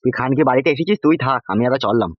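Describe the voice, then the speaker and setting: A man's voice: mid-range voice, expressive, very fast, no room sound, dull audio, natural voice. A man voicing a bullying, lecherous local politician in a comic cartoon, crude and blustering. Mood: exasperated. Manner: blustering, crude, exclaiming in repeats.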